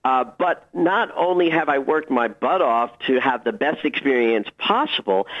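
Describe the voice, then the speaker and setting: A man's voice: medium pitch, dull audio. A man speaking as a guest astrologer in a conversational interview, giving advice with enthusiastic emphasis.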